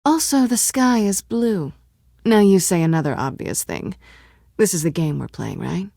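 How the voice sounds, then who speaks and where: A husky lowish cool cat burglar lady for a game, sly n teasing, super dry close sound. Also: animated, moderate pace, dark tone, dry recording. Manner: sardonic, teasing, understated. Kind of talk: naturalistic video game dialogue acting.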